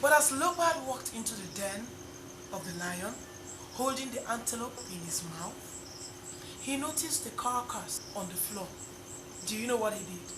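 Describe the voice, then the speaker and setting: A woman's voice: fairly high pitch, lively intonation, normal speed. A woman narrating a bedtime story as a storyteller. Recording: clear insect and outdoor ambience noise.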